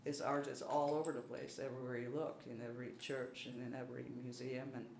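A woman's voice: very deep voice, flat delivery, quick pace, a little mellow. A woman from Belgium, with a Belgian accent, speaks casually as an interviewee. She is self-deprecating and often feels her way through a thought. The room sounds echoey, with a little background noise. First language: French, German and Dutch. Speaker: artist being interviewed. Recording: roomy, faint background noise.

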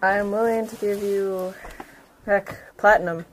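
A woman's voice: medium pitch, fairly even intonation, unhurried, slight background noise, poor audio. A woman talking casually as a player in a tabletop game, informal and assertive.

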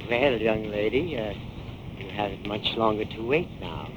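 An actor playing a cold, menacing seafaring killer in a 1940s radio crime drama, gruff and threatening. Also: male voice, lowish voice, moderate pace, natural voice. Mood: cold, grim. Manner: gruff, threatening, blunt commands. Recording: noisy old 1940s radio recording.

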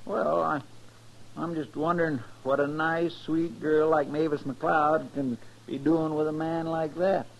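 An actor playing a folksy, deferential, soft-hearted sidekick in a radio western, with an American Western accent. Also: male voice, a little high, little pitch movement, unhurried, vocal fry. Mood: earnest. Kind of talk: mid-century radio western acting. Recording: noisy, crackly old radio recording.

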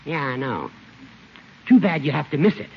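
An actor playing a vain, chatty, teasing old judge in a 1950s radio comedy, rambling and self-satisfied. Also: male voice, on the high side, very animated, normal speed, husky, exaggerated voice. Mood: smug, teasing. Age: older. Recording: muffled, hissy 1950s radio broadcast.

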